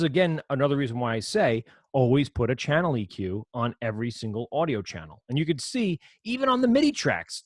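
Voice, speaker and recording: A man with a low voice teaching an audio production class over a screen recording, explaining in a casual, rambling way with frequent self-corrections. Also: dry recording, studio quality. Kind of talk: screen-share software lesson.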